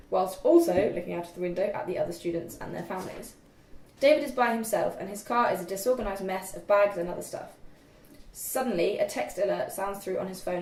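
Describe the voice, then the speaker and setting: A woman's voice: mid-range voice, a little mellow. Young woman narrating in an echoey room. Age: young adult. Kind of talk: scripted narration, reading screenplay directions aloud. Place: room at a group table read. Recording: distant echoey room, faint noise.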